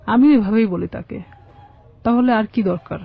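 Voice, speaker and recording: A Bangladeshi woman giving personal testimony as an interview guest, in a halting, plain-spoken way. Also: fairly high pitch, gravelly, slightly rough. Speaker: guest telling her life story. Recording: dull, muffled lo-fi sound.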